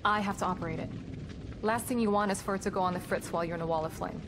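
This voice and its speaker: Woman acting composed game psychologist, mid-pitched voice. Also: very fast, background noise, natural voice. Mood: steady, determined. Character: composed, caring, brave female team psychologist. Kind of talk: dramatic video game acting. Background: music.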